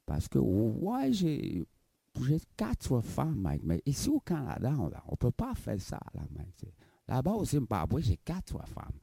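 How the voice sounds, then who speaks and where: A man's voice: mid-range voice, wildly swooping pitch, measured pace, vocal fry, mellow, breathy edge, no echo, exaggerated voice. A man playing a silly, boastful African visitor to Canada in a comedy interview sketch. He keeps calling the host by name and tacks filler tags onto his phrases. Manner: giggly, playful bragging.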